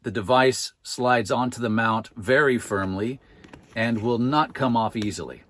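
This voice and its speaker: A man presenting a product review as scripted narration, with measured, clearly phrased delivery. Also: mid-range voice, a bit bright. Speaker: product reviewer and channel host.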